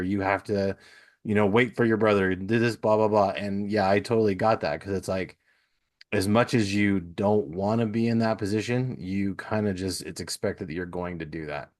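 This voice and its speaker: A man's voice: on the low side, steady tone, normal speed. A man in his thirties hosting a casual interview, affirming his guest and often repeating and rephrasing his own points. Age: 30s. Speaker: podcast host, interviewer.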